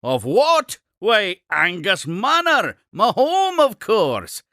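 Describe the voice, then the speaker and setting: A man's voice: high voice, wildly swooping pitch, takes it slowly, sharp tone, dry recording, clean studio sound, heavily acted. A man voicing an exuberant, eccentric Scottish explorer-inventor creature in a cartoon game, grandiose and effusive with old-fashioned phrasing. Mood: proud, showy. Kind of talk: theatrical cartoon game character dialogue.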